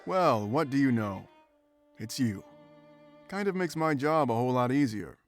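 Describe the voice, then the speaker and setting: A man's voice: medium pitch, animated, normal speed, faint music behind, lightly acted. A man voicing a confident, teasing male beast-folk rogue in a visual novel game, with a wry, smug manner. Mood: amused, teasing.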